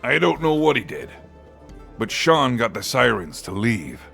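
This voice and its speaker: A man playing a gruff, disapproving villager in an ASMR roleplay, speaking in a blunt, grumbling manner. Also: mid-range voice, big pitch swings, unhurried, a bit bright, faint music behind, heavily acted. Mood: disgusted, dismissive. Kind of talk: ASMR roleplay character acting.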